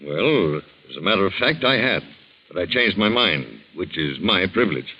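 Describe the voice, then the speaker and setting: Curt, indignant wealthy businessman, radio drama, very low animated voice, dull vintage recording. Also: moderate pace, full character voice. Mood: self-justifying. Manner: brusque, indignant. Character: brusque, self-justifying, middle-aged wealthy businessman. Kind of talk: 1940s radio drama acting. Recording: muffled 1940s radio recording.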